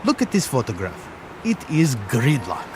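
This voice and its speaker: A man's voice: mid-range voice, wildly swooping pitch, normal speed. A man playing a friendly, talkative tuk-tuk driver in a scripted role-play interview, exclaiming about the traffic. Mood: chatty.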